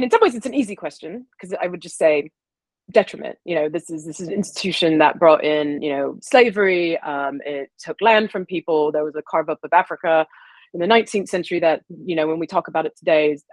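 A woman from the American South answers interview questions in a loose, thoughtful way. Her voice sits a little low, and she pauses and restarts often. The sound is close and dry. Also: dry recording. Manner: thoughtful, many fillers and restarts. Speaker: guest historian. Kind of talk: casual interview conversation.

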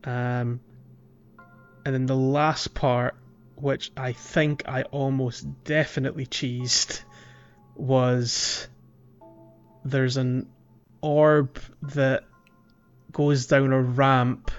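A man's voice: mid-range voice, flat delivery, very slow, pauses often, smooth. A man hosting a casual video game playalong podcast, explaining things in a tentative, hesitant way. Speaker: podcast host. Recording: slightly dull audio, music bed. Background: background music.

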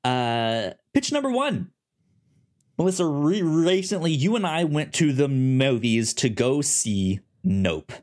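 Halting man chatting on a podcast. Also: a little high, sing-song, a little mellow. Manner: halting, stammering, repeats words. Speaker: podcast co-host. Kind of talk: casual chat pitching films on a movie podcast.

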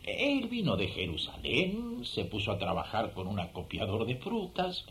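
Humble, eager villager, wildly swooping voice. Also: male voice, on the low side, wildly swooping pitch, moderate pace, fairly bright tone. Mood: welcoming, open. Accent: Rioplatense Spanish. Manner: hesitant, earnest, effusive. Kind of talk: radio drama acting.

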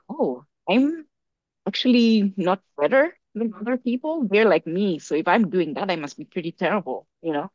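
A woman's voice: low-pitched, dry recording, highs cut off. A young woman from Africa, a podcast guest, talking casually and telling a story with lots of fillers and restarts. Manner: animated storyteller with many fillers. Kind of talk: casual conversation.

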